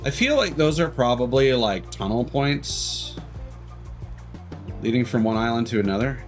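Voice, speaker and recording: A man's voice: mid-range voice, lively intonation, unhurried. A man livestreaming a video game with casual commentary, rambling and analytical as he gives his opinions. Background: video game music.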